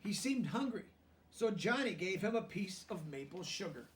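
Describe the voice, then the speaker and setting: A man with a notably high voice reads a storybook to children. He moves between narration and simple explanatory asides, checking that listeners follow. The voice sits some distance from the microphone. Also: expressive, a bit bright, a little hiss or hum. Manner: pauses to explain words and check understanding in plain language. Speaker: storybook reader teaching children.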